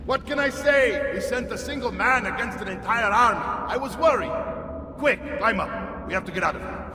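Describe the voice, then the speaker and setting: High-pitched, commanding uncle. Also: male voice, expressive, moderate pace, a crowd in the background. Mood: wry, concerned, then bold, urgent. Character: bold older Italian uncle, battle-ready assassin mentor. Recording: loud music behind.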